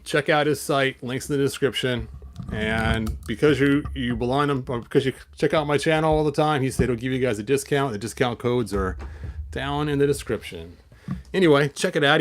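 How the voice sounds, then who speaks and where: A man hosting a toy collector channel, chatting in a rambling way with many fillers and restarts, then reading a picture book aloud. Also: medium pitch.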